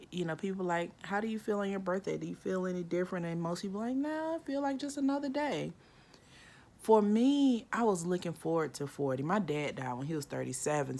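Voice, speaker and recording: Chatty woman in her forties, low voice. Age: 40s. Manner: animated, acts out inner voices with pitch jumps; frequent "like" and "um" fillers. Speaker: vlogger. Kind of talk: casual reflective monologue.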